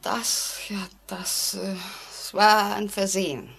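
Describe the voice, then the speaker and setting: A woman's voice: mid-range voice, flat delivery, slow, creaky, fairly bright tone, husky, full character voice. An actress playing a nervous, frightened woman in a 1940s-style radio play, speaking in a hesitant, anxiously polite way. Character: nervous, increasingly frightened woman trapped in an elevator. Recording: noisy vintage radio drama recording.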